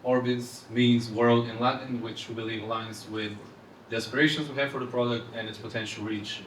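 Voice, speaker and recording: Young male presenter, Eastern European accent, flat intonation, live event delivery, picked up from afar in a roomy space. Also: mid-range voice, fairly quick. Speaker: fintech presenter. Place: indoor event room, speaking to audience. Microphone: handheld microphone heard from far off. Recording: roomy, distant event-hall sound with noise.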